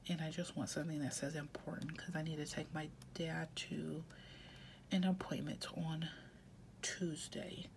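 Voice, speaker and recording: A woman's voice: sits low, little pitch movement, measured pace, vocal fry, noisy background. A woman with a head cold, congested, chatting casually and often half-whispering as she fills out her weekly planner at home. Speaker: planner vlogger. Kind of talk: casual chat while planning.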